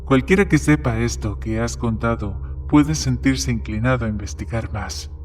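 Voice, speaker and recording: A man plays a plain-spoken bartender in an audio horror story. His voice sits at a middling pitch as he recounts grim events in a measured storytelling way, with faint music underneath. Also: natural voice. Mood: matter-of-fact. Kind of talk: dramatized short-story reading. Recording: music behind the voice.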